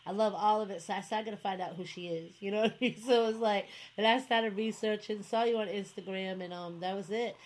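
A woman's voice: medium pitch, fairly even intonation, fast talker, hardly any pauses. Chatty woman radio host, rambling. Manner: chatty, rambling, many fillers and laughs. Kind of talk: casual interview chat.

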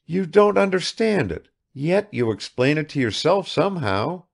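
A man's voice: fairly high pitch, very animated, moderate pace, gravelly, pitched above the performer's own voice, full character voice. A man voicing a proud, world-weary young aristocratic woman in a languid, searching manner for an audiobook. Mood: eager, piqued. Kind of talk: dramatised literary audiobook dialogue.

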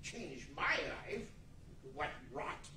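A man's voice: on the high side, big pitch swings, very slow, sharp tone, exaggerated voice. A man playing a grumpy, nervous old miser in a radio play, blustering and hesitant. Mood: dismissive. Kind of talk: 1940s radio play acting. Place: large indoor meeting room, live stage reading. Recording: echoey room, distant mic, faint noise.

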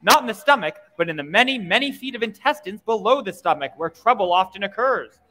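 An American man delivers old-time radio announcements in a high, forceful voice. His projected, declamatory delivery rings with long hall reverb. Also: expressive, brisk. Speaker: radio show performer and announcer. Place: stage in a museum event hall. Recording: reverberant hall stage sound.

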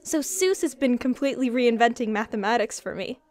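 A woman voicing a sweet, patient young girlfriend in a cartoon, gentle and chatty. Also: a little high, fairly quick, natural voice. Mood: gentle, concerned. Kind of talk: cartoon character acting.

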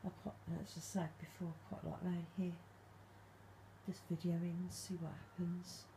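A woman vlogging at night from her dark bedroom, speaking softly and calling out to spirits, her voice a little stuffed up from hay fever. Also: on the low side, little pitch movement, a little slow, creaky, slight background noise, poor audio. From England. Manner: hushed, soft-spoken, calling out to spirits. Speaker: paranormal vlogger. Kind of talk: quiet late-night ghost hunting vlog.

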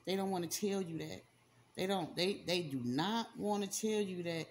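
A woman's voice: lowish voice, moderate pace, a little hiss or hum. A woman doing a casual spiritual card reading, talking straight to the viewer, repeating herself for emphasis and using slang. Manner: repetitive, emphatic, slangy, talks to viewer directly. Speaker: spiritual reader. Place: indoors at a table, hands and cards on camera.

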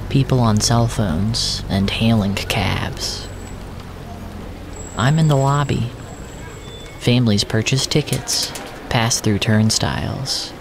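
A man narrating surreal first-person audio fiction in a deliberate, descriptive way, often in short fragments. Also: medium pitch, noisy background.

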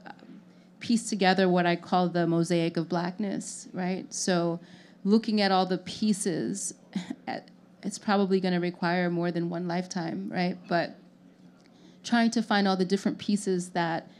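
Young woman from haiti on a panel, lowish voice, earnest, handheld mic in a lounge. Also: steady tone, a little slow, a little mellow, a little hiss or hum. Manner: earnest, thoughtful, many small restarts. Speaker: panelist, artist. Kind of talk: panel discussion. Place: lounge venue with audience, indoors.

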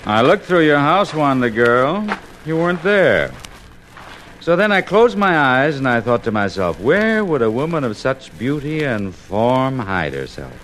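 An actor playing an oily crook with florid, mock-sweet flattery in a 1950s radio drama. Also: male voice, medium pitch, a little slow. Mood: flattering. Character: oily, flattering male crook, mockingly mournful. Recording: noisy old 1950s radio broadcast.

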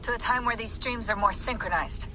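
A woman's voice: a little high, a little fast, noisy background, close to an everyday voice. An actress playing a focused, practical technician in a video game, speaking matter-of-factly as she solves problems. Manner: matter-of-fact, problem-solving. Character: focused, practical female technician guiding a simulation. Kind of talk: naturalistic video game acting.

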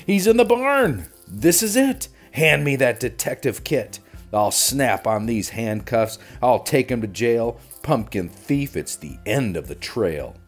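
A man's voice: mid-range voice, big pitch swings, measured pace, faint music behind. A man voicing an overconfident papa bear detective from a storybook, boastful and emphatic. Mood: excited, threatening.

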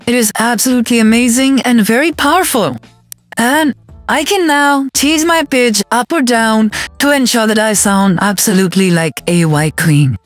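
A man's voice: very high voice, normal speed, no room sound, soft background music, voice pushed up. A man voicing an adult woman through an AI voice changer, narrating a product demonstration. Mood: upbeat demonstrating. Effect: AI voice changer to a female voice.

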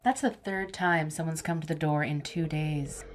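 A woman's voice: deep voice, steady tone, moderate pace, slight background noise, lightly acted. A woman playing a wry, emotional heroine kept as a living head in an audio drama, in naturalistic, pointed dialogue. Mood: wry, teasing.

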